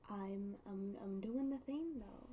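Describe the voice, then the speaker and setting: A woman's voice: medium pitch, takes it slowly, dark tone, slight background noise. A woman livestreaming a game, chatting casually to viewers in a playful, rambling, silly way. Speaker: livestreamer, host of a game stream.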